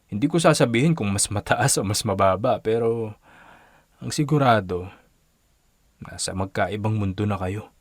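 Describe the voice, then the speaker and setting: A man's voice: lowish voice, moderate pace, pauses often, lightly acted. An actor playing a young man in a radio drama who speaks frankly and matter-of-factly. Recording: dry radio drama with faint music.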